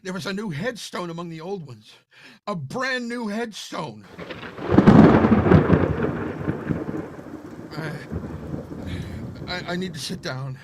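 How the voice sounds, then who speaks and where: A man's voice: sits high, lively intonation, a little slow, heavy background noise. A man playing an anxious, confused, stammering widower in an old-time radio horror play. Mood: agitated. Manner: stammering, theatrical.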